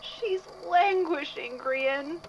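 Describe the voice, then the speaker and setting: A woman's voice: high-pitched, extremely slow, lo-fi sound, slight background noise, full character voice. A woman playing a playful, teasing archive assistant in an audio drama, drawing lines out in a sing-song way.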